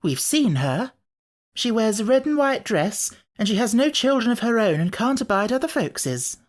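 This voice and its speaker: A sensible young girl in a children's storybook, voiced by a woman. She speaks earnestly and cautions others, in a raised pitch. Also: sing-song, normal speed. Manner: earnest, warning.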